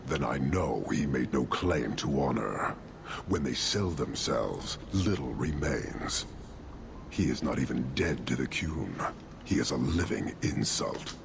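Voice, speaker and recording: An actor playing a stern, disdainful warrior leader of an inhuman fantasy race in a video game, speaking in a measured, solemn way. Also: male voice, very deep voice, lively intonation, background noise, exaggerated voice. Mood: scornful. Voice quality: gravelly. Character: stern imposing male Qunari warrior leader, disdainful and rigid. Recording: game audio with loud background ambience.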